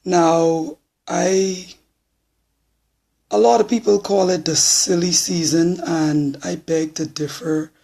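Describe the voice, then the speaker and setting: A hoarse man in his forties with a Bahamian accent, talking bluntly and plainly to viewers on a live social media stream. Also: high-pitched, fairly even intonation, slow pace, warm tone. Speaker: political supporter.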